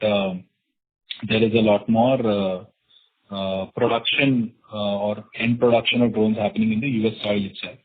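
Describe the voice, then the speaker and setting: A man with an Indian accent answering as a company executive on an earnings call, measured and halting, pausing often between phrases. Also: fairly low pitch, husky. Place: conference call. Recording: narrow, tinny conference phone line.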